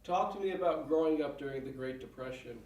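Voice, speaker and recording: A man asks short, polite questions at a quick clip, his voice set fairly high. He sounds distant from the microphone, with a roomy echo around him. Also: a little mellow, dull audio. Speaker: interviewer. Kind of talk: interview questions. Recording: roomy, far from the mic.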